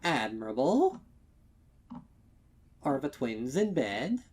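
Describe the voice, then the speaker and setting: Storybook mother pony: male reader as adult female, prim, polite, pitched above a man's talk. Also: big pitch swings, slow, darkish tone, breathy edge, exaggerated voice. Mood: polite unease.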